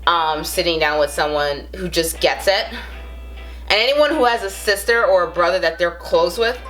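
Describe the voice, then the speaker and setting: A woman's voice: fairly low pitch, expressive, moderate pace, a bit bright, soft background music, poor audio. Chatty thirtysomething Brooklyn-accented woman. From the Bronx, New York. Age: 30s. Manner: rambling, chatty, with frequent fillers and self-amused laughs. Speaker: vlogger. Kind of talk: casual chat to camera.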